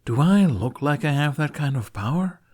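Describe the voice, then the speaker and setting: Man acting a cheeky sarcastic young man, higher-pitched. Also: animated, moderate pace, darkish tone. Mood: flippant, sarcastic. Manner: sarcastic, flippant.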